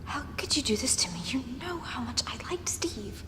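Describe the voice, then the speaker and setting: A woman's voice: fairly high pitch, sing-song, slow. An actress playing an angry, jealous woman in a comic film monologue, whispering furiously into a phone with indignant outbursts. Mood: hurt, indignant. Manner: hushed, indignant, swooping into outbursts. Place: large museum gallery, indoors. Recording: echoey reverberant hall, some noise.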